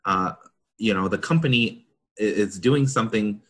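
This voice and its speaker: Bright-voiced man with low pitch. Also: moderate pace. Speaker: consultant panelist. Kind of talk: explanatory discussion.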